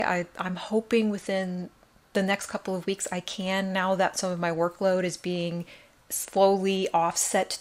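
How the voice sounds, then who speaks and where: A woman co-hosting a podcast in casual chat, her voice raspy and tired from days of talking, speaking with quick enthusiasm. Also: mid-range voice. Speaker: podcast co-host.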